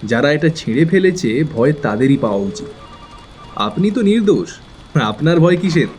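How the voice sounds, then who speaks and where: An actor playing a calm, cold, quietly menacing schemer in an audio thriller. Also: male voice, on the high side, normal speed. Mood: cold, calm menace. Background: music.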